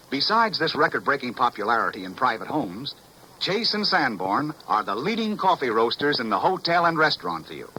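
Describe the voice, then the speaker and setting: An American man reading a 1940s radio advertisement as an emphatic sales pitch. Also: pushed and bright, poor audio. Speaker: commercial announcer. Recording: old 1940s radio recording, faint noise.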